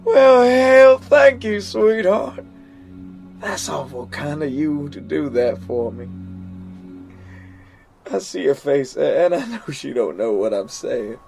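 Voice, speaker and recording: An actor playing a haunted American soldier, telling his story in a halting, stammering dramatic monologue with an American accent. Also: on the high side, gravelly, darkish tone, soft background music. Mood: gentle, grateful, then grim, shaken. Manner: halting, stammering, repeats words. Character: haunted male American soldier recounting a deadly standoff. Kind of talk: dramatic first-person monologue.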